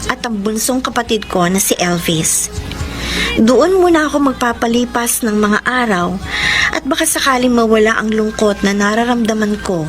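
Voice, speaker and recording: A woman's voice: on the high side, moderate pace, natural voice. A young woman playing a lovelorn, earnest older sister in a Tagalog radio romance, confessing with emotion and mixing in English. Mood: wistful. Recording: music under the voice.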